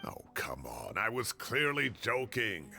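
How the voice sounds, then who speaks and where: An actor playing a fussy, indignant retired professor in a video game, with a theatrical, huffy manner. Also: male voice, on the low side, huge pitch swings, a little slow, gravelly, sharp tone, faint music behind, heavily acted. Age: older. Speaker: game character.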